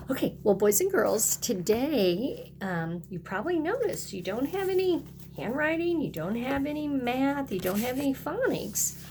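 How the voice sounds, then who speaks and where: A woman's voice: a little high, darkish tone, a little hiss or hum. A woman kindergarten teacher reading a picture book aloud and talking directly to young children in a sing-song way.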